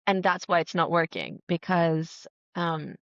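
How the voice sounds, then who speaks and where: A woman from Greece with a lowish voice, chatting as a podcast guest. She is earnest and enthusiastic, leaning on emphatic words in a casual, conversational flow. The sound is close and dry but slightly dull. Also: a little slow, creaky, no echo. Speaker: podcast guest, writer and entrepreneur. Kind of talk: casual conversational interview answers.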